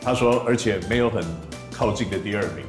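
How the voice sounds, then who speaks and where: Playful low-voiced man, echoey room. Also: fast talker. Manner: playful, laughs often. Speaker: interpreter and co-host. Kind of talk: casual interview. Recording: echoey room with music behind.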